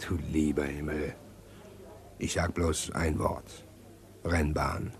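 An actor playing a scheming, respectable embezzler in a radio crime drama, laying out a plan in a precise, insistent, often hushed way. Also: male voice, very deep voice, level tone, slow pace, heavily acted. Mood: sheepish. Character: scheming respectable male embezzler plotting a fake burglary.

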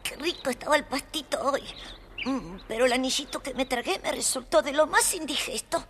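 Animalito parlanchín de voz agudísima. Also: female voice, high-pitched, lively intonation, brisk, a bit bright, a little hiss or hum. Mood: complaining. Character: chatty little bird and a silly goose, female.

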